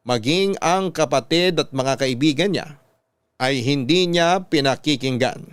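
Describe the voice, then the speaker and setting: A man's voice: medium pitch, smooth, no echo. A Filipino man narrating a scripted first-person radio story in a solemn storytelling manner.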